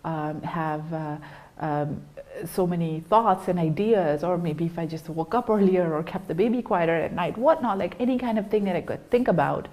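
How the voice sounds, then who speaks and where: A young woman speaks in a low register, earnest and unhurried. She talks as a guest, stopping and restarting often with small fillers. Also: darkish tone. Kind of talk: personal testimony in an interview.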